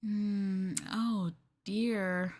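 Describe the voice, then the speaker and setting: A woman playing an obsessive, sweetly possessive young girlfriend in an intimate ASMR roleplay, cooing and coaxing the listener with soft, breathy asides. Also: medium pitch, fairly even intonation, very slow. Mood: coaxing, dreamy.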